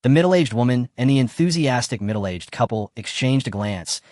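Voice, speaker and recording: A man reading a story aloud as narrator in a steady, scripted storytelling delivery. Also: medium pitch, normal speed, dry recording, clean studio sound.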